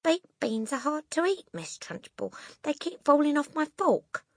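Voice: high-pitched, sing-song, unhurried, no echo, clean studio sound, pitched above the performer's own voice, exaggerated voice. A storybook narrator voicing a small, plucky schoolboy who answers bravely and with polite cheek. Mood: protesting.

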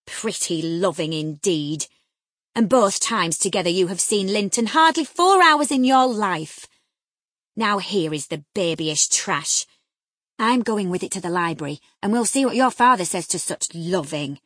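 Stern older housekeeper, scolding and scornful, somewhat husky, read by a woman. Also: medium pitch, animated, measured pace, no echo, clean studio sound.

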